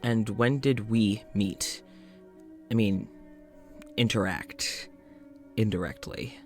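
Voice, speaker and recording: Man acting as a weary, slow-talking, exasperated doctor. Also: lowish voice, faint music behind. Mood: guarded, curt. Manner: exasperated, sighing, halting. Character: weary, frustrated amnesiac male doctor keeping an audio journal. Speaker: voice actor playing podcast's lead character. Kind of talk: audio drama, spoken journal monologue.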